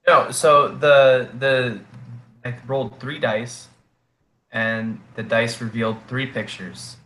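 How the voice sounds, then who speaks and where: A man running a tabletop game who has a sore throat, pausing often and filling gaps with ums. His voice is bright and his pace slow and deliberate, recorded on a desk mic. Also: medium pitch, level tone, a little hiss or hum. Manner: halting, many fillers. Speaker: game master. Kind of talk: casual tabletop game chat.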